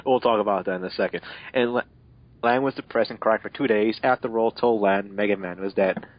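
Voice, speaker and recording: A man's voice: fairly low pitch, a little fast, lo-fi sound. A man doing a mocking amateur dramatic reading, breaking off into exasperated, sarcastic commentary. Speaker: amateur fan fiction reader and commentator.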